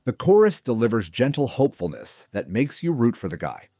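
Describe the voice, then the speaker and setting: A man's voice: mid-range voice, huge pitch swings, brisk, warm tone, dry recording, studio quality. A man reading a scripted music countdown as its narrator.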